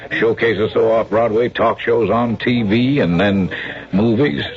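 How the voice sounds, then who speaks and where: An actor playing a brash, slangy, persuasive American showbiz agent in a radio drama, with a cocky hard-sell manner. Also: male voice, on the low side, measured pace, faint music behind. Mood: pushy persuasion. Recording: dull, faintly noisy radio broadcast recording.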